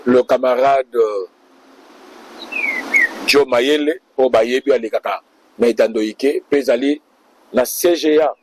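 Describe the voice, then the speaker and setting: A man's voice: medium pitch, measured pace, pauses often, darkish tone, slight background noise, low-quality recording. A man answering interview questions as a party official, speaking in a speechlike way to the public.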